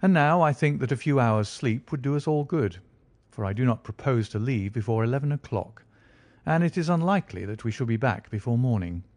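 Measured male detective, dry wit, quietly sure of himself, read by a male narrator. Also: medium pitch, no room sound, lightly acted. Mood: calm, assured. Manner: calm, dry, quietly confident. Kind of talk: audiobook character voice.

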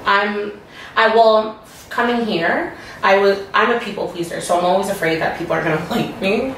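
A woman's voice: mid-range voice, normal speed, a bit bright. A young woman from the Toronto area hosts a casual group chat in a living room, giggly and chatty, full of "like" and "you know what I mean". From Toronto area, Canada. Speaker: vlog host. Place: dim living room, indoors. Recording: roomy, distant camera audio, faint hiss.

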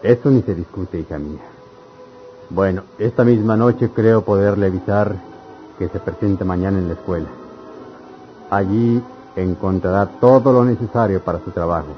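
Deep-voiced, kindly Mexican village priest acted by a man. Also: normal speed, close to an everyday voice. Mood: earnest, reassuring. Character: kindly solemn village priest, fatherly and reassuring. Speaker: radio drama actor. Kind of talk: old Mexican radio soap acting. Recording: muffled old radio recording, music behind.

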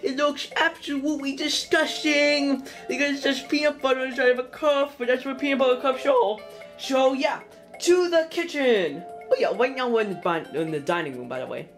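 A woman's voice: high-pitched, moderate pace, soft background music. A young woman casually narrating a plush puppet cooking skit at home, rambling and jokey, correcting herself as she goes. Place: home kitchen and dining room.

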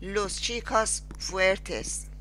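A woman with a Persian accent teaching Spanish. She speaks slowly and deliberately, pausing after each word in repeat-after-me drills. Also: mid-range voice, fairly bright tone, a little hiss or hum. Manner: slow, deliberate, spells out each word with pauses. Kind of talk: slow screen-recorded language lesson with repeat-after-me drills.

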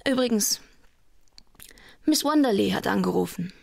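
An actress playing a private detective's shrewd, teasing secretary in a radio crime play, knowing and frank. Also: female voice, medium pitch, expressive, moderate pace, vocal fry, darkish tone, dry recording. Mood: matter-of-fact.

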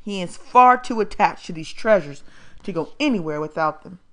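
A woman's voice: fairly low pitch, expressive, normal speed, close to an everyday voice. A woman voicing a stern, haughty foster mother who scolds in a pompous, formal way, in an audiobook. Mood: mocking.

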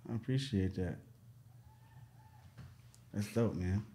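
A man hosts a relaxed interview. He asks his questions in slang and keeps answering with easy words of agreement. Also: fairly low pitch, slow pace. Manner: easygoing, affirming, slangy questions. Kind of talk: casual interview chat.

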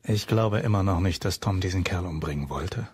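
An actor playing an earnest, dutiful, embittered lighthouse keeper in a German crime radio drama, grave and blunt. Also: male voice, deep voice, normal speed, darkish tone. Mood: bitter, subdued. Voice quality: husky, rough.

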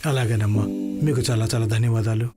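A man's voice: on the low side, fairly even intonation, brisk, loud music behind the voice, close to an everyday voice. A man voicing an honest, helpful village husband in a moral story, speaking in a polite, earnest way. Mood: grateful.

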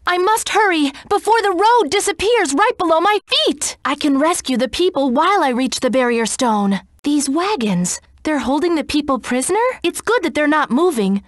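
Game role: proud young female cat knight, high voice, formal and stern, widely swinging intonation, very dry sound. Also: no room sound. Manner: formal, archaic, haughty diction. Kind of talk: video game character voice clips.

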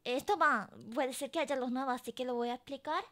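A woman's voice: high-pitched, a little fast, pushed and bright. A woman streamer chatting casually and playfully with her viewers during a live game event. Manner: chatty and playful, talking to chat.

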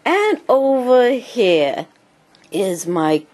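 A woman with a cold and a speech impediment, giving a casual home tour in halting, choppy phrases. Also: a little high, big pitch swings, a little mellow. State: has a cold, clearing throat. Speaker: amateur video blogger and hobby writer. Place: small home computer room. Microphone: handheld camera held by the speaker.

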